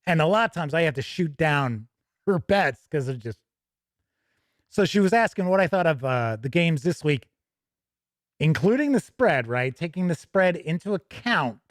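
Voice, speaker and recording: Man, livestream host, highly varied intonation, slightly raised pitch, folksy storyteller, jokey, informal chat. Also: animated, normal speed, dry recording, clean studio sound. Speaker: host of a tabletop gaming news livestream. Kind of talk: casual livestream chat with viewers.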